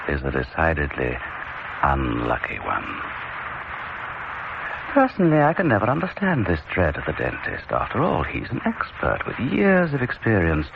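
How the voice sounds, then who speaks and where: An actor playing a droll, sinister host of horror tales, pompous and deliberate in a theatrical introduction. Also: male voice, extremely low pitch, creaky, heavily acted. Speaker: horror series host and narrator. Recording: dull, noisy old radio recording.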